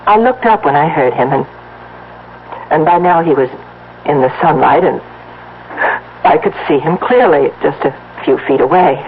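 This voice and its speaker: A frightened wife in a radio drama, earnest and insistent. She speaks haltingly, pressing hard on her words. The sound is narrow and tinny, with faint noise like an old broadcast. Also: sits low, expressive. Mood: insistent, upset. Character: frightened, insistent wife who saw a faceless man.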